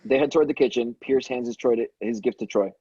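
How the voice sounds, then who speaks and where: Man reading stage directions, slightly husky. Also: medium pitch, fast talker, mellow, no echo, muffled recording. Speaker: stage-direction reader at a table read. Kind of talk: scripted narration, reading screenplay action lines.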